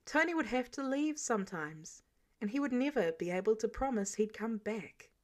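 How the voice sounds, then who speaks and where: A woman reading fiction aloud as an expressive narrator. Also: fairly high pitch, normal speed. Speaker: amateur audiobook narrator.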